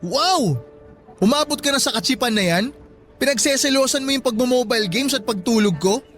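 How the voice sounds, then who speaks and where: An actor playing a sarcastic, easily irritated young boyfriend in a radio drama, mocking and petulant. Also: male voice, extremely high pitch, expressive, brisk, fairly bright tone, faint music behind. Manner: mocking, petulant, mimics his partner.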